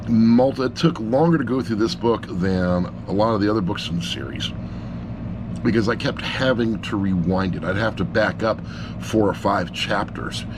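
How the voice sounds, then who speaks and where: Middle-aged man rambling over clear background noise. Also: on the low side. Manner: rambling, blunt, self-deprecating. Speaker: amateur audiobook reviewer. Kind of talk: casual unscripted review vlog. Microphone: action camera sitting on a laptop.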